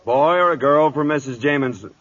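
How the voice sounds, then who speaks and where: A man acting a gruff, dry-humoured veteran cavalry captain in an old-time radio drama, clipped, authoritative and wry. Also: a little high, a little fast, fairly bright tone, natural voice. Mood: dry, curt. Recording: dull vintage radio broadcast, music behind.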